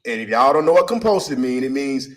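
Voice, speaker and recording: A man's voice: mid-range voice, expressive, quick pace. A man, co-hosting a podcast debate in loose street slang, teasing and cutting in. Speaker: podcast co-host.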